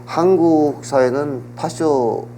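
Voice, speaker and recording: A man getting over a cold, explaining politics and history in a measured, lecturing way on a talk show. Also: fairly high pitch, dark tone, poor audio. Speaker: political commentator. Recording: audible hum and background noise.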